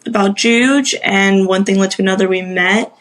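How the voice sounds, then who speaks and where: A young woman from Los Angeles, a DJ and singer, answering in a relaxed, casual way as a podcast guest. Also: medium pitch, moderate pace. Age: young adult. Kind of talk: casual interview talk.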